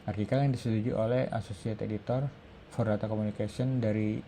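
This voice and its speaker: An Indonesian man presents an academic review with a halting, hesitant delivery. His voice sits fairly low and is extremely dark and mellow in tone. A faint noise runs behind the recording. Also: fairly even intonation. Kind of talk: scripted academic presentation, read aloud.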